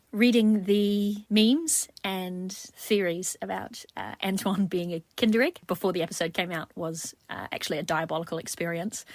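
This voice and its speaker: Woman, lowish pitch, dry recording, casual, expressive, self-deprecating, chatty voice message. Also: dry recording. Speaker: listener sending in a voice message.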